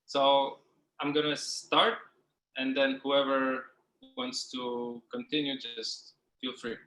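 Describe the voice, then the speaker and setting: A man's voice: medium pitch, flat delivery, takes it slowly, sharp tone, roomy sound, rough recording. A man leading a group workshop, giving casual instructions in a loose, informal way, as if thinking aloud. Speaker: workshop facilitator. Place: indoors, on a group video call.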